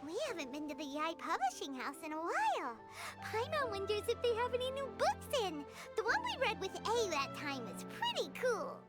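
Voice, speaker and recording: A woman voicing a tiny, excitable, curious floating girl companion in a video game, with a squeaky childlike voice. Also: moderate pace, few pauses, exaggerated voice. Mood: curious, skeptical. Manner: refers to herself by name, exclaiming. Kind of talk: cartoonish video game dialogue. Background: music.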